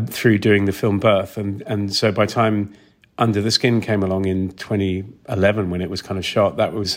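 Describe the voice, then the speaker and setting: A man being interviewed about his work, talking casually and reflectively, thinking aloud and rephrasing as he goes. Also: lowish voice. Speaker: film sound designer, interview guest.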